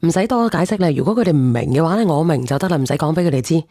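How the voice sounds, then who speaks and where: A woman's voice: deep voice, animated, rapid-fire, mellow, no room sound, studio quality. A woman hosting a live call-in stream in casual, bantering, slangy talk. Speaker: live-stream host taking calls.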